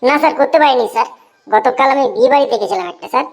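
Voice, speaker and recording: A woman's voice: high voice, very fast. A woman voicing a chattering, teasing school pupil in a comedy cartoon. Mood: excuse-making.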